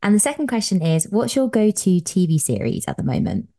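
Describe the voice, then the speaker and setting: A woman's voice: medium pitch, wildly swooping pitch, brisk, vocal fry, mellow. A woman hosting a podcast, reading an upbeat scripted introduction and then chatting with her guest. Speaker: podcast host, dietitian.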